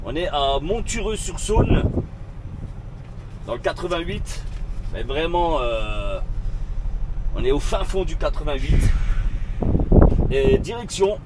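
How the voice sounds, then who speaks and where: Chatty trucker man over loud engine noise. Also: a little high, slow pace, pauses often. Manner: relaxed, chatty, many fillers, slangy. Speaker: truck driver vlogger. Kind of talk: casual vlog chat while driving. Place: truck cab on the road. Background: truck engine and traffic.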